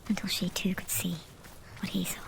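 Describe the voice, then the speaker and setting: An actress playing an eerie, knowing narrator inside a warrior's head, speaking in a hushed, deliberate, ominous way in a video game. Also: female voice, fairly low pitch, little pitch movement, gravelly, whispered, poor audio. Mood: hushed, grave. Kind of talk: intimate whispered dramatic game narration.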